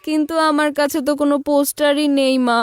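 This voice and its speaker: A woman performs a bratty young cartoon child in a very high voice. The kid is sly and coaxing, with exaggerated, whiny delivery. Light music sits behind. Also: flat delivery, fast, natural voice. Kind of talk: cartoon dialogue dub.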